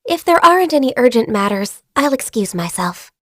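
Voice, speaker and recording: An actress voicing a gentle, shy and diligent young female secretary in a video game, soft-spoken, earnest and a little hesitant. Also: fairly high pitch, sing-song, normal speed, no room sound, studio quality. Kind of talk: video game character voice lines. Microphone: close studio mic.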